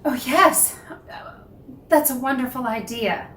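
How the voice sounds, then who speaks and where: An actress playing an agreeable, hopeful young wife with a sweet, coaxing manner in a musical theatre table read. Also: female voice, high voice, takes it slowly, sharp tone, heavily acted. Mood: eager, coaxing. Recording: echoey, distant, slightly noisy home recording.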